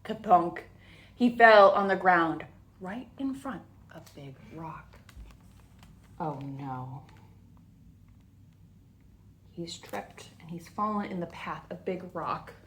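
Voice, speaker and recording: A woman reading a storybook aloud to children, expressive and deliberate, with little asides to the listeners. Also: fairly low pitch, a little hiss or hum. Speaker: children's story time reader.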